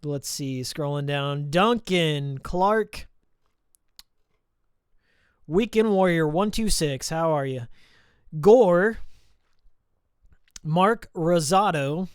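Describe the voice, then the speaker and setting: A man chatting casually while live-streaming a game, a little hoarse after hours of talking, rambling and reading out viewer names. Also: a little high, expressive, extremely slow, pauses often, no room sound, clean studio sound. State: slightly hoarse from a long stream.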